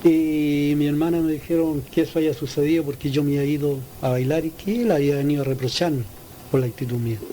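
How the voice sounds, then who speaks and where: A man giving an informal spoken account of a personal experience as an interviewee, halting and repeating his words. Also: on the high side, fairly even intonation, mellow. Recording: noisy ambient field interview recording.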